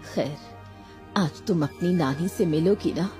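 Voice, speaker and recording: A woman plays a weary, grieving widowed mother in a cartoon horror story. She speaks slowly and with feeling, gentle toward her child. Music runs under the voice. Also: on the low side, close to an everyday voice. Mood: gentle, evasive.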